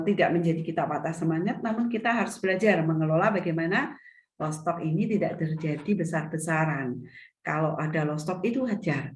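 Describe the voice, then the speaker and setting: A middle-aged woman, teaching an online seminar as a retail business mentor over a video call, her voice a little hoarse from a cough, often restating her points. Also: lowish voice. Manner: explanatory, repeats and restates points. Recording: echoey video call audio.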